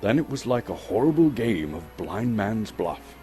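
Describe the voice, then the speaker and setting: A man from Canada giving a dramatic, suspenseful storybook narration with theatrical, deliberate phrasing. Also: on the low side, very animated, mellow. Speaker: actor reading a story aloud. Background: music.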